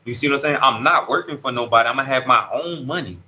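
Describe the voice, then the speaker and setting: Man in his thirties, bright voice. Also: mid-range voice, fast talker. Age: 30s. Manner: street slang, keeps asking "you see what I'm saying". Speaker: incarcerated interviewee. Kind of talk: casual conversational storytelling. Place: prison dorm.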